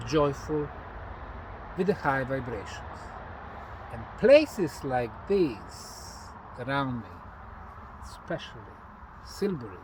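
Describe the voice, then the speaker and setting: A man presents on site as a researcher, explaining in an unscripted monologue with emphatic, deliberate phrasing and pauses. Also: a little high, rough recording. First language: Bosnian. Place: outdoors at an open monument site. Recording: outdoor ambient noise, urban or vehicle sounds.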